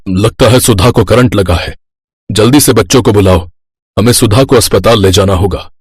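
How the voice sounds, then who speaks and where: A man voices a fair-minded father of grown sons in a cartoon story. He is stern, with a lowish, husky voice recorded dry. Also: normal speed, a little mellow, no echo. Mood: urgent.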